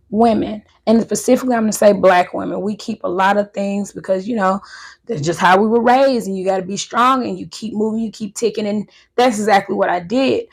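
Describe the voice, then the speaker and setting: Husky-voiced woman in her thirties, chatty. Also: medium pitch. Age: 30s. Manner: chatty, nervous, talks straight to viewers as "y'all". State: voice recovering from loss, hoarse. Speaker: vlogger. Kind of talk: casual chat to viewers. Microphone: handheld wireless mic.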